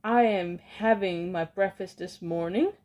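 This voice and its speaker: A woman's voice: lowish voice, measured pace, a little mellow, natural voice. A woman reading a storybook aloud and voicing a furious, domineering female witch leader who shrieks orders in stilted, oddly worded speech. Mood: ranting.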